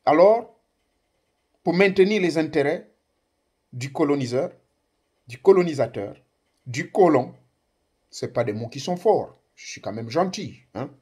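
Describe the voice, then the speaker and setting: A man with a husky, slightly raised voice speaks with conviction. His first language is Ngomienne, and his delivery is emphatic and declamatory, with sudden rises on key words. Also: a little high, lively intonation, a little slow, pauses often, a little mellow. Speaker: commentator addressing African youth. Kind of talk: impassioned political address to camera.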